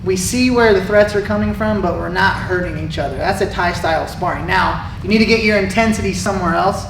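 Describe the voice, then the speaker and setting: A man coaching Muay Thai, explaining sparring in a relaxed way during a live Q&A. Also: high-pitched, fast talker, creaky. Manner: relaxed, explanatory, shouts cues while sparring. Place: martial arts gym. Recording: echoey gym heard from far off with noise.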